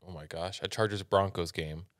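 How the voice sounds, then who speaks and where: A man's voice: sits low, steady tone, moderate pace, a bit bright, no echo. A young American man chatting casually as a podcast co-host, speaking into a close studio microphone. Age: young adult. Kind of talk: casual chat. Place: small indoor podcast studio. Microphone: close studio mic on boom arm, headphones.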